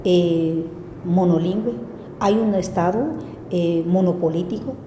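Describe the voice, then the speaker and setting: A woman speaking slowly and deliberately, with hesitations. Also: on the low side, mellow. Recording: echoey reverberant room, faint noise.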